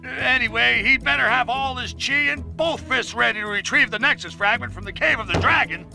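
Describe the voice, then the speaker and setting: Shrill, snide wisecracking narrator. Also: male voice, a little fast, vocal fry, sharp tone, faint music behind, exaggerated voice. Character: sarcastic, excitable, wisecracking male narrator mocking the heroes. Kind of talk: comic scripted narration.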